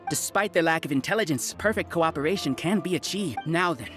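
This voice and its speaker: A cold, scheming scholar villain with a very low, lively voice. He speaks in a condescending way. Game music plays clearly in the background. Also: brisk. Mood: menacing. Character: arrogant scheming scholar who controls a collective.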